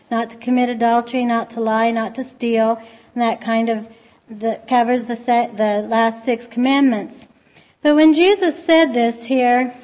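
A soft-spoken woman giving an informal church talk, gentle and conversational, sometimes pausing to restart a thought. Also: high-pitched. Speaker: church speaker. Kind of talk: informal sermon.

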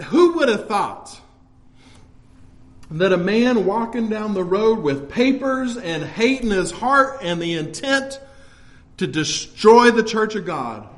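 A man's voice: sits high, sing-song, takes it slowly. A man with an American accent preaching an impassioned church sermon in an emphatic, exhortative, folksy way.

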